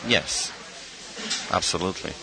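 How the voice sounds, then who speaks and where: A middle-aged man from Argentina, a guest artist in a radio interview, speaking thoughtfully with frequent pauses and fillers. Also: background noise. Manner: thoughtful, hesitant with many fillers.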